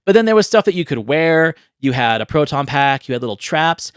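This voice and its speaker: A man's voice: on the high side, big pitch swings, brisk, clear, resonant tone, dry recording. Enthusiastic man chatting on a podcast, dry sound. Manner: enthusiastic, rambling. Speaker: podcast host. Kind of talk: casual chat about movie ideas.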